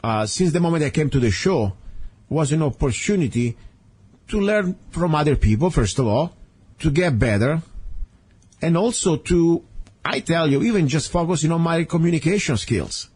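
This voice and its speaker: Italian-accented male talk radio host; husky, rambling, earnest, with many restarts. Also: on the high side, expressive, moderate pace, darkish tone. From Italy. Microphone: studio microphone.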